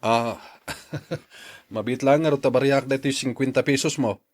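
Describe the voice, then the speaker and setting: Man acting an easygoing Ilocano husband, mid-pitched. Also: steady tone, normal speed, a little mellow, lightly acted. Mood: cheerful, apologetic. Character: easygoing, patient husband and jeepney driver. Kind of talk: Ilocano radio drama acting.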